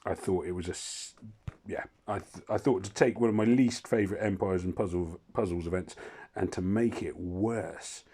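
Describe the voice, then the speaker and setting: Brief: hoarse man, lowish voice, rambling gaming commentary with dry asides. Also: normal speed, dark tone. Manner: rambling, self-interrupting, wry asides. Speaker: gaming channel host. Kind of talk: casual gameplay commentary.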